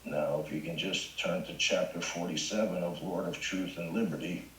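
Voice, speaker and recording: An actor at a table read playing a stern high school teacher with a formal, measured, dryly sarcastic manner. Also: male voice, fairly even intonation, a bit bright, reverberant, slight background noise, rough recording. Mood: dryly menacing. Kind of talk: live comedy table read.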